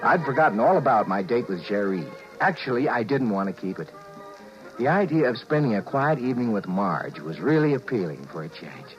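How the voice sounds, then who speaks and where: Animated, stammering American husband in old radio drama played by a man. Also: medium pitch, brisk, lightly acted. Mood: rueful narration. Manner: stammering when flustered, exclaiming. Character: flustered, sheepish suburban husband, narrating his misadventure. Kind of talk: 1950s radio drama acting with wry first-person narration. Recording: dull 1950s radio recording with music.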